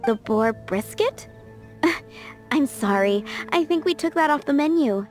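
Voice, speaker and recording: An actress playing an apologetic, somewhat scatterbrained young restaurant worker. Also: female voice, high-pitched, expressive, takes it slowly. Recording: game cutscene with faint music behind.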